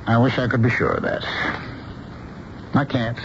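On a dull, noisy radio recording, a protective middle-aged father. His delivery is animated and earnest, halting and repeating words as he worries over his grown daughter. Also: medium pitch, vocal fry. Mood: uneasy resolve. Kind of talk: radio drama acting. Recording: muffled radio recording with noise.

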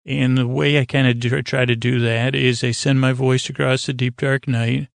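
A man with a creaky voice hosting a sleep podcast in a lulling, rambling way, hesitating and over-explaining as he goes. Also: mid-range voice, little pitch movement, normal speed, no echo, clean studio sound. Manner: soothing, lulling. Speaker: podcast host.

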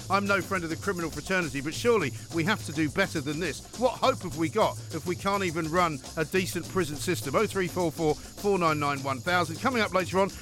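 A man's voice: sits high, bright, forceful tone. A British man hosting a talk radio show, giving opinions in an emphatic, sardonic, rhetorical way.

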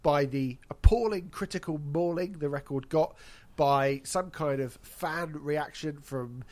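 A man's voice: fairly high pitch, unhurried, a little mellow. A man hosting a casual podcast, congested from hay fever, wry and chatty. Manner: wry, chatty, laughs at own jokes. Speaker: podcast host.

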